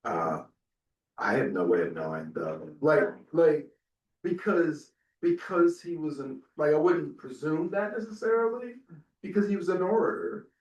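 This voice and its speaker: A man's voice: fairly high pitch, sing-song, measured pace, lo-fi sound. A man on a discussion panel, speaking hesitantly with restarts. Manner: hesitant, many restarts and fillers. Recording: roomy hall, speaker far from mic.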